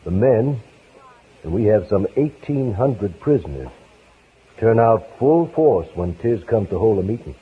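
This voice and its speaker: An actor playing an approving, plain-spoken prison warden, speaking emphatically in 1950s radio acting style. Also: male voice, lowish voice, very animated, unhurried, breathy edge, exaggerated voice. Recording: noisy, tinny 1950s radio broadcast.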